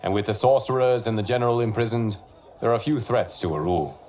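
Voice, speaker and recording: A man's voice: fairly low pitch, darkish tone, a little hiss or hum, close to an everyday voice. A man as a serene, formal monk guardian. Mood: solemn. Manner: formal, measured. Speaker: leader of the champions.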